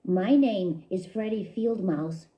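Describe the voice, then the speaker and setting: A woman voicing a humble young male field mouse from the country, speaking quietly, hesitantly and politely in a children's story. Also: medium pitch, takes it slowly, lightly acted, poor audio. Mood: shy.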